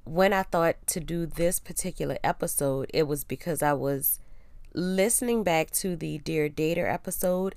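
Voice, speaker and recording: A young woman with a low-pitched voice, nasal and congested from a cold, talks chattily and with self-assurance on her podcast. Under the stuffiness her tone stays smooth and clear. The sound is close and dry, with no room around it. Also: moderate pace, a little mellow, no room sound. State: congested, getting over a cold. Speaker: podcast host. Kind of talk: casual solo podcast, reading her blog post.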